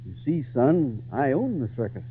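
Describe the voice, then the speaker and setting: A man's voice: mid-range voice, big pitch swings, moderate pace, lightly acted. A man playing a genial, folksy circus owner who tells stories in an old radio drama. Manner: avuncular, chuckling, folksy. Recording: tinny, hissy vintage radio recording.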